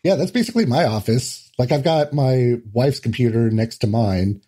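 A man's voice: mid-range voice, lively intonation, normal speed, warm tone, husky. A man speaking as a podcast guest in offhand, casual chat with some swearing.

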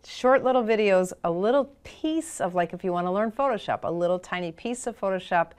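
A middle-aged woman from Michigan hosts a photography show in a studio, talking into a lapel mic. Her delivery is chatty and upbeat, turning salesy when she promotes her course. Her voice sits at an ordinary middle pitch. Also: expressive, fairly quick, darkish tone, clean tone. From Michigan, USA. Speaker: podcast host and photography teacher. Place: studio with dark backdrop.

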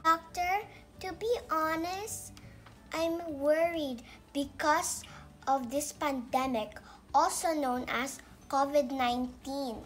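A woman's voice: sits high, slow pace, fairly bright tone, soft background music. A woman voicing a polite, childlike little schoolgirl puppet, sickly and worried, in a children's educational show.

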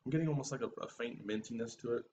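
A man's voice: mid-range voice, racing, mellow, dull audio. A middle-aged man co-hosting a casual beer review, easygoing and stuffy-nosed. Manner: easygoing, laughs often. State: stuffy nose. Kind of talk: casual chat. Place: small room with shelves of beer bottles. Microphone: webcam recording from a distance. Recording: distant webcam sound with faint hiss.

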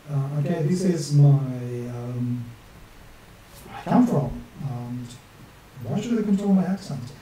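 A man's voice: a little high, dark tone. Echoey, distant man rambling at his desk. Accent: non-native English with some northern English influence. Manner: chatty, talks to himself, jokey asides. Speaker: map illustrator and channel host. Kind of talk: rambling chat while drawing on screen. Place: small home room at a desk with a webcam. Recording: very echoey room, distant mic, faint hiss.

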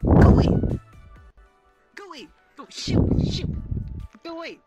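A woman's voice: very high voice, very animated, unhurried, dark tone. A woman improvising in a roleplay, insistent, repeating herself in a flustered way. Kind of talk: improvised virtual reality roleplay. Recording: music behind the voice. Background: clear background music.

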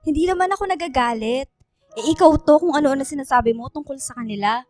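A woman plays a young Filipina girlfriend with a high voice. She sounds meek and hurt, questioning in a hesitant, pouty way. It is radio drama with music behind. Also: fast talker, a little mellow, natural voice. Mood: worried, pleading.

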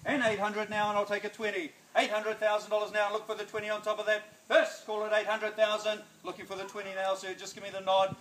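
A middle-aged man with a New Zealand accent calling bids as an auctioneer in a backyard, coaxing buyers and chanting the price in brisk repeats. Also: high-pitched, a bit bright, reverberant, slight background noise, rough recording. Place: sunny backyard outdoors. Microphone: phone camera at a distance.

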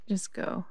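A woman playing a worried, caring partner in an audio drama, speaking softly and anxiously. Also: no room sound, studio quality. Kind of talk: roleplay audio drama acting.

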